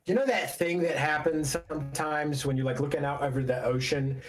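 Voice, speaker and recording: A man working as a game master, improvising descriptive narration in a halting, conversational way. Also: fairly high pitch, faint music behind.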